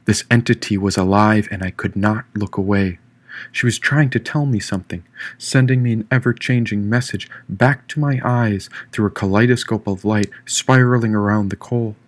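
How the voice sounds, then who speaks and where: A man reading first-person fiction as an audiobook narrator, in an expressive, dramatic storytelling manner. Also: fairly low pitch, normal speed. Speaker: author reading own audiobook. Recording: echoey room, distant mic.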